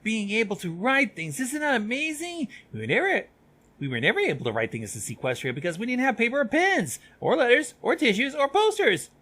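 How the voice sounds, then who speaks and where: A man voicing an excitable young female creature student who gushes and rambles with wonder in a story reading. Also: very high voice, a little fast, voice pushed up, full character voice. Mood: gushing. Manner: gushing, rambling.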